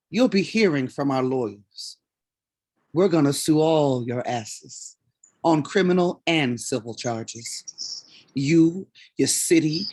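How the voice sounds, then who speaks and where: A woman in a staged reading playing an impassioned, defiant leader of a community theater company, with a blunt, emphatic delivery. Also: low-pitched. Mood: angry, defiant. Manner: blunt, emphatic speech-making.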